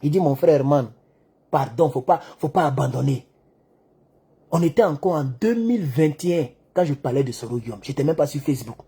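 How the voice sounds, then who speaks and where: A man giving an animated political monologue, acting out the dialogue in his stories. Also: a little high, a little fast, slightly rough. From Côte d'Ivoire. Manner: excitable storyteller who acts out dialogue. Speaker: online political commentator.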